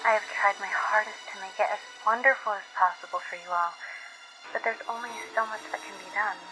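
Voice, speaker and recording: A woman's voice: mid-range voice, fairly even intonation, fairly quick, natural voice, poor audio. Horror game matron played by a woman: huge, ailing, saccharine and sinister, stately formal delivery over prominent music. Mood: solemn, coaxing. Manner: formal, grandiose, saccharine endearments. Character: huge, sickly matron, sweetly sinister cult-like mother. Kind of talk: theatrical horror game voice acting. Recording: music behind the voice.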